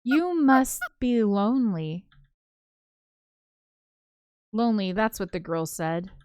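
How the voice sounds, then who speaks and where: A woman's voice: medium pitch, lively intonation, slow pace, darkish tone, no echo, clean studio sound. A woman reading the part of a brooding, traumatized ghost girl, narrating a visual novel in an introspective, melancholy way. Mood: wistful. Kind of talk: dramatic visual novel read-aloud.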